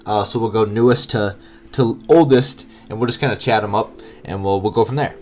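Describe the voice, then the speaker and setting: A man's voice: lowish voice, a little fast. A man with a stuffed-up, nasal voice co-hosting a podcast in casual, chatty style. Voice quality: nasal, congested. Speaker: podcast co-host.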